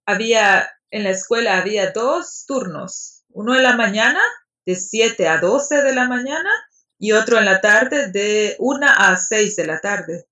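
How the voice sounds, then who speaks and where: A middle-aged woman with a Salvadoran accent, a language teacher answering interview questions hesitantly with soft pauses. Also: mid-range voice. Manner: hesitant, laughs softly between answers. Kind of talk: conversational interview. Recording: dull, lo-fi audio.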